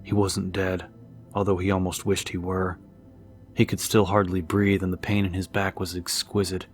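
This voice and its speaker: Very deep-voiced male narrator with music behind. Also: flat delivery, moderate pace. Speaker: audiobook narrator. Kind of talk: dramatic scripted narration.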